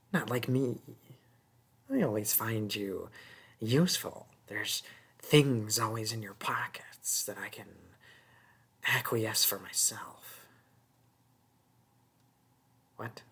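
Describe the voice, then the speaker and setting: A performer voicing a small, sneaky, mocking goblin thief in a fantasy audio roleplay, taunting and haggling in a husky, breathy voice that often drops to a whisper. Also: medium pitch, a bit bright, exaggerated voice. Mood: condescending, threatening. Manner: taunting, haggling, drawn-out wheedling.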